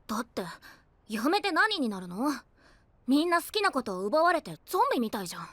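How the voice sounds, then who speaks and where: Stubborn anime boy, high, swooping voice, earnest and indignant. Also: big pitch swings, a little fast, a bit bright, no room sound, heavily acted. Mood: defiant. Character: defiant, earnest young boy musician. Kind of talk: Japanese anime audio drama acting.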